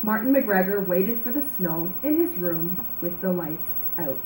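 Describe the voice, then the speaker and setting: A woman narrating a children's story aloud in an animated, dramatic way, drawing out words for emphasis. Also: fairly low pitch, unhurried. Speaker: storybook reader. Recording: roomy echo, background noise.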